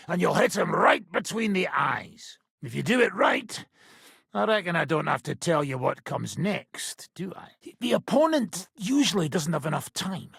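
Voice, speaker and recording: A boisterous British sellsword and combat trainer from a video game. His voice is very hoarse and raspy, pitched fairly high for a man, and swings wildly as he swaggers and teases. The recording is dry and close. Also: fairly bright tone, no room sound, full character voice. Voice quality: gravelly, raspy. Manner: swaggering, rough, teasing. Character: boisterous hard-drinking male mercenary swordsman, cocky and crude. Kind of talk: video game character dialogue.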